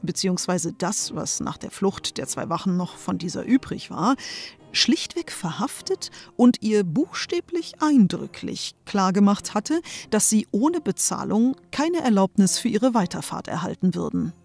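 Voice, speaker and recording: A woman's voice: mid-range voice, sing-song, a little slow, gravelly, darkish tone. A woman narrator reading scripted storybook narration. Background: music.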